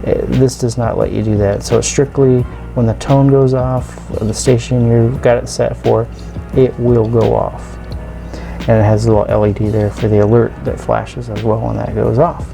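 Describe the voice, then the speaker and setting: A man gives a casual hands-on demonstration in a creaky, medium-pitched voice. He explains things step by step, informally and haltingly, with music clearly audible behind him. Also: level tone. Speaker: radio reviewer and video host.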